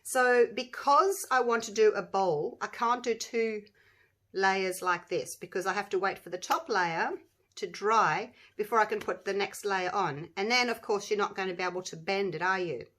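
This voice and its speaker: A woman with a croaky voice, chatting casually to viewers as she demonstrates a resin craft project. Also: mid-range voice, normal speed, fairly bright tone. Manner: chatty, rambling, talks to viewers while working. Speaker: resin craft channel host.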